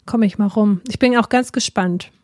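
A woman's voice: medium pitch, moderate pace, darkish tone, no echo, studio quality. A woman co-hosting a podcast in casual chat, rambling with unsure asides while she has a cold. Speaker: podcast co-host. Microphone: remote recording, separate from co-host.

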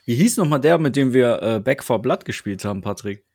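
A man's voice: medium pitch, animated, a little fast, a little mellow, breathy edge, no echo, studio quality. A man chatting casually about video games as a podcast co-host, colloquial and often seeking agreement with tag questions.

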